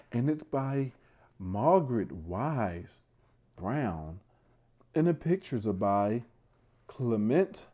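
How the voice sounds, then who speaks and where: A man hosting a bedtime read-aloud for young children, speaking in a hushed, gentle way and talking directly to his little listeners. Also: medium pitch. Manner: addresses young listeners directly with questions and praise.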